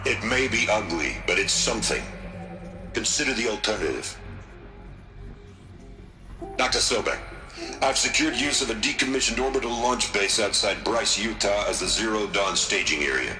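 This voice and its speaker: A man's voice: lowish voice, lively intonation, normal speed, pauses often, close to an everyday voice. A man with an American accent playing a resolute, commanding middle-aged military chief in a game, firm and authoritative. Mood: grave, commanding. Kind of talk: video game cutscene voice acting. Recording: roomy echo, music behind.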